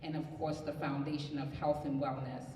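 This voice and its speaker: A woman from Harlem speaks in a low voice, earnest and motivational. She sits on a community panel, heard from far off in a roomy hall with a long echo and light background noise. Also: level tone, fairly quick, mellow. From Harlem, New York. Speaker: panelist, community health activist. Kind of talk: personal introduction at a community panel. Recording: echoey hall, distant mic, some noise.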